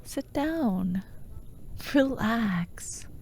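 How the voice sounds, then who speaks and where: A woman playing a sardonic female fantasy villain who haunts dreams, in a breathy, often whispered ASMR roleplay with a wry, taunting manner. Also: on the high side, expressive, darkish tone, full character voice. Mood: coaxing. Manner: wry, taunting, theatrical, unhurried.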